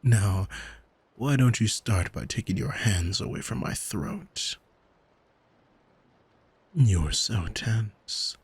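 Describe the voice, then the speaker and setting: A man playing an arrogant, manipulative demon in an ASMR roleplay, coaxing in a smug, soothing, hypnotic manner with a breathy, husky voice. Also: fairly low pitch, animated, full character voice. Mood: possessive, coaxing. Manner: smug, deliberate, soothing, commanding. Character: arrogant male demon, soul-owning manipulator, calm and seductive.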